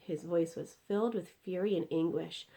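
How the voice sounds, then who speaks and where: A woman reading a children's story aloud in an expressive narrating style. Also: on the low side, normal speed. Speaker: story reader.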